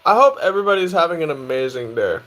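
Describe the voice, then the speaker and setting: A young man in his twenties talks casually on his live stream, reading chat aloud and answering each question in turn. His voice sits a little high for a man, and his manner is relaxed and easygoing. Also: fast. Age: 20s. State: tired.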